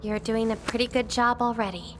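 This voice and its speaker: A woman voicing an aloof young female swordfighter in a video game, teasing and quietly melancholy, with hesitant pauses. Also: a little high, steady tone, over music, natural voice. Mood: gentle, reflective.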